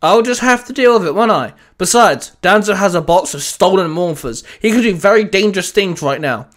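A man's voice: high-pitched, lively intonation, brisk, fairly bright tone, voice pushed up. A man voicing an earnest, headstrong young male space ranger in an audio story, speaking with urgent emphasis. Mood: defiant.

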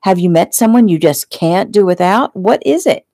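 A woman's voice: on the low side, normal speed, gravelly, mellow, no echo, clean studio sound. A woman narrator voicing a demanding, clingy young fiancée in an audiobook, theatrical, pleading and indignant. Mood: shrieking, furious.

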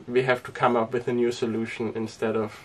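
A young man who grew up speaking Danish, chatting casually to camera inside a small sailboat, relaxed and rambling with lots of fillers. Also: mid-range voice, fairly quick, distant mic. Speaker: sailing vlogger. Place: inside a small sailboat cabin and cockpit tent.

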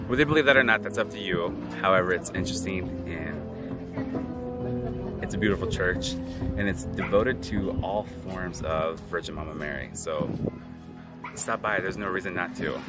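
A man's voice: low-pitched, normal speed, loud music behind the voice. A man in his thirties chatting playfully as a travel vlogger, filming himself at arm's length outdoors and in a car. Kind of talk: casual travel vlog. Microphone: handheld camera at arm's length.

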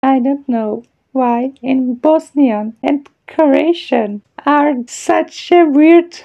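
A woman with hosting a casual vlog, slowly and hesitantly sounding out foreign words, on a dull lo-fi mic. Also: high-pitched, creaky, warm tone. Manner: slow, careful, hesitant pronunciation of foreign words. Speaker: channel host. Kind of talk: casual vlog reading word list.

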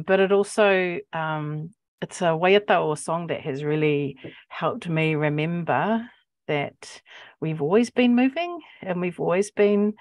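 Low-pitched New Zealand woman, thoughtful. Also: no room sound, studio quality. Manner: thoughtful, halting, searching for words. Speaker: poet and academic. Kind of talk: reflective panel talk. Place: book-lined office, indoors. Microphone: earphone mic on video call.

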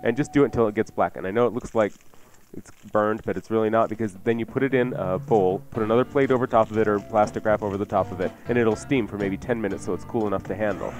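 Low-voiced American man, music behind. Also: steady tone, fast, darkish tone, dull audio. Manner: informal, bantering, explanatory. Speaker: radio cooking show host. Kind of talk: casual cooking chat on live radio. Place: radio studio. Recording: radio broadcast with music behind.

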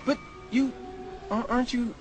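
Guy playing awkward polite young cartoon hero, stammers a lot, high voice, loud music behind. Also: fairly even intonation, moderate pace. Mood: earnest, formal. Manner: bashful, stammering, overly polite. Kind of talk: animated film dialogue, fan dub.

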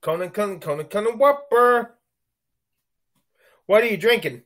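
Middle-aged American man, high-pitched, livestreaming from his bedroom, chatty and rambling. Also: moderate pace, a bit bright. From United States. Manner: chatty, thanks viewers for likes between remarks. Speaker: livestream host. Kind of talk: casual live broadcast chat. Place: bedroom at night, indoors.

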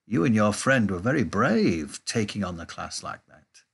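A man voicing a kindly middle-aged female baker who speaks quietly and a little mysteriously. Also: lowish voice, big pitch swings, normal speed, gravelly, slightly rough, exaggerated voice. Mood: approving. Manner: hushed, mysterious.

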